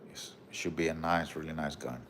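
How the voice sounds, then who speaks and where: A man with a Puerto Rican accent hosting a casual livestream, reading viewer comments and showing off his collection, with a relaxed manner and frequent "bro" and "okay?". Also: very deep voice, a little fast, slight background noise. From Puerto Rico. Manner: relaxed, repeats "bro" and "okay?", many fillers.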